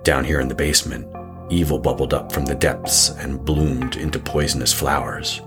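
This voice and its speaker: A man narrating a horror story in an ominous, deliberate, literary manner. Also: rumbling low, fairly even intonation. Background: music.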